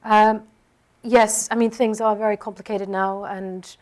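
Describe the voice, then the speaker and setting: A woman's voice: medium pitch, little pitch movement, a little slow. Hesitant woman answering on a panel. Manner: hesitant, many restarts and fillers. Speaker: panel speaker.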